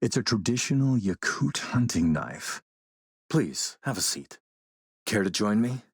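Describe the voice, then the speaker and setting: An actor playing a ruthless middle-aged warlord in a video game, speaking in a measured, courtly, menacing way. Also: male voice, on the low side, sing-song, husky, dry recording, clean studio sound, exaggerated voice. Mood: suave, wry. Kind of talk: video game character voice acting.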